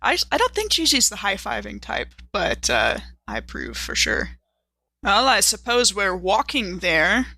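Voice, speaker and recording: A woman playing a tabletop game, chatting casually in a playful, animated way as she improvises. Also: medium pitch, a little slow, creaky, no echo. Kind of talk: casual improvised role-play chat.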